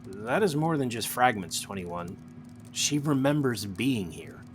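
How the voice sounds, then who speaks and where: A man's voice: medium pitch, big pitch swings, a little slow. Man playing a stern, menacing interrogator, humming recording. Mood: interrogating, stern. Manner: clipped, pointed, quietly menacing questioning. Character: stern, suspicious male superior interrogating a subordinate. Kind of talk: audio drama acting. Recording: noticeable background hum and noise.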